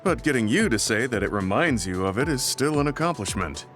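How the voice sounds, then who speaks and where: An actor playing a weary, remorseful veteran soldier in a video game, speaking in an earnest, apologetic and measured way. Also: male voice, medium pitch, animated, a bit bright, lightly acted. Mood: reflective. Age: older. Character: weary, remorseful veteran male soldier, humble and self-reproachful. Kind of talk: video game English voice acting. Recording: game music behind the voice. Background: game music.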